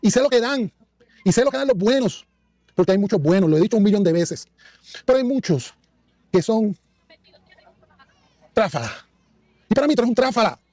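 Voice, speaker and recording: Confrontational man with a notably high voice. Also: expressive, normal speed, pauses often, mellow, no echo. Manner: accusatory and confrontational. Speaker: commentator in a played clip.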